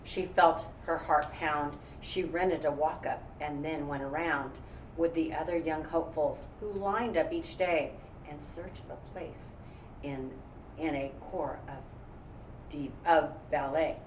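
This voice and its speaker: A woman's voice: low-pitched, measured pace. A woman educator chatting casually and encouragingly with children, explaining things in a conversational way. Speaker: elementary educator co-hosting a read-aloud. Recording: echoey room, distant dull mic, faint noise.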